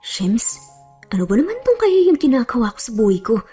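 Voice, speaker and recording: An anxious young working woman played by a female storyteller frets and grumbles to herself with self-mocking sass. The voice is mellow, in a romance audiobook with faint music behind it. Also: on the high side, big pitch swings, fairly quick, husky, pitched above the performer's own voice. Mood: nervous, grumbling.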